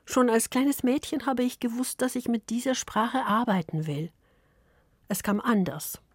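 A woman from Poland, Polish native, narrating with a Polish accent in a soft, slow, intimate read. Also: on the high side, husky, no room sound, clean studio sound. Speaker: radio author and narrator. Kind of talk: scripted reflective narration.

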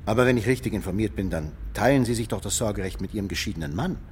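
An actor in a radio play playing a skeptical police detective, over-polite yet pointed and insistent. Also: male voice, fairly low pitch, rapid-fire, a little mellow, a little hiss or hum, close to an everyday voice. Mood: politely skeptical.

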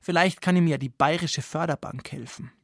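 A man with a fairly high, creaky voice in a dry, close studio sound. He presents satirical radio narration as a host, wry and ironic, tossing out rhetorical questions. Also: moderate pace, dry recording.

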